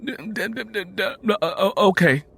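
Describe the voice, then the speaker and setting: A man's voice: high-pitched, animated, normal speed, creaky, sharp tone, breathy edge, pitched above the performer's own voice, heavily acted. A man voicing a young boy with a heavy stammer who blurts out all he knows in an audiobook drama. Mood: groggy. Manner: heavy stammer, repeating sounds and words. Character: groggy stuttering boy who tells everything he knows.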